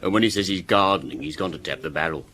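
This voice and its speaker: An actor playing a gossipy, sardonic village innkeeper in a radio drama, with a dry, knowing, teasing manner. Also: male voice, low voice, quick pace, sharp tone.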